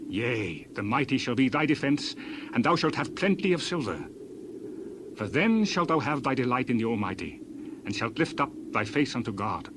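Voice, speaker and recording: A man's voice: medium pitch, very animated, moderate pace, noisy background, lightly acted. A man playing a stern, admonishing counselor in dramatized scripture, preaching in a declamatory way. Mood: earnest exhortation. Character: stern, admonishing friend of Job, a righteous counselor.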